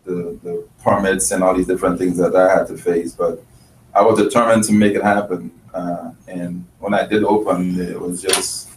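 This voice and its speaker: A man's voice: low-pitched, little pitch movement, normal speed. A man from Grenada and Trinidad, a chef and restaurant owner, talking earnestly in an interview with many restarts. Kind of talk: casual interview talk. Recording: roomy, distant mic, faint noise.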